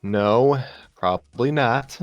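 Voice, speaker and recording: A man's voice: mid-range voice, slow, no room sound, dull audio, faint music behind, voice pushed up, natural voice. Man reading as a subdued, downcast young woman student. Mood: wry, resigned. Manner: subdued, hesitant, wry. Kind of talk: story character reading.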